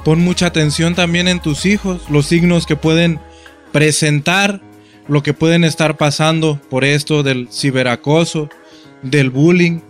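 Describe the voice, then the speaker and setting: A man's voice: on the high side, fairly even intonation, measured pace, soft background music. A man giving instructive advice straight to parents as a voice-over. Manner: instructive, addressing the listener directly.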